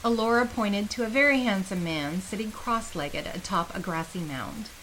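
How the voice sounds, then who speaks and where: A woman's voice: medium pitch, moderate pace, a bit bright, a little hiss or hum, low-quality recording. A woman reading a scripted fantasy story as its narrator, voicing dialogue along the way. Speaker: narrator of a fantasy fiction podcast. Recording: music behind the voice. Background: instrumental music.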